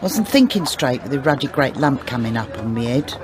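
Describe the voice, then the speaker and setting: An actress playing a cantankerous, middle-aged market stallholder in a radio drama, with a working-class English accent, scolding and indignant. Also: female voice, a bass voice, animated, brisk. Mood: defensive, aggrieved. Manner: scolding, indignant, wheedling when it suits. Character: cantankerous, aggrieved female market stallholder, sharp-tongued and evasive. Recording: radio drama, busy street crowd behind.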